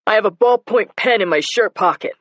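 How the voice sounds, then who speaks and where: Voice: medium pitch, expressive, drawn-out words, fairly bright tone, no echo, highs cut off, voice pushed up. A reader doing a protesting young suspect man. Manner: protesting, defensive.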